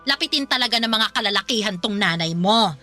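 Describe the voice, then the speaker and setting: A woman's voice: medium pitch, animated, brisk, bright, forceful tone, faint music behind. A woman acting a shameless, flirty middle-aged Filipino mother in a radio drama, brash and whiny as she defends herself. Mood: indignant, boastful.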